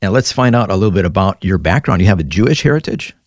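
A man hosting an interview, reading a scripted introduction and asking measured, attentive questions. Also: sits low, lively intonation, no echo, clean studio sound. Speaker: host.

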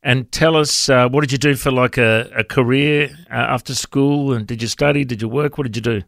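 A man hosting a radio interview asks friendly questions and fills his pauses with "uh" and "like." His voice sits in a middle pitch. The studio sound is dry and close. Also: steady tone, a bit bright, dry recording. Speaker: radio host.

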